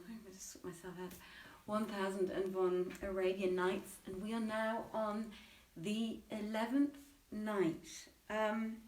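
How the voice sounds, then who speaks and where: A woman's voice: mid-range voice, a little mellow, slight background noise. A woman hosting a live online reading, talking casually and digressively as she greets viewers and recaps a story. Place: indoor room, live online stream. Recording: roomy echo, distant from microphone.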